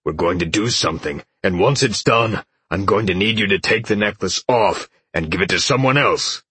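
A man voicing a gruff, terse male rebel leader in a fantasy story, with a growling, husky voice. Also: a bass voice, normal speed, sharp tone, no room sound, exaggerated voice. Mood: firm, businesslike. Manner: terse, bossy.

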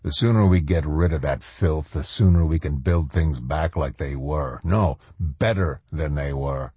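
Ruthless male colonel, done by a narrator: deep, dark, grim, measured authority, audiobook drama. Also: husky, no echo, full character voice. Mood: grim fanaticism. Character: commanding male army colonel, ruthless, coolly self-assured fanatic.

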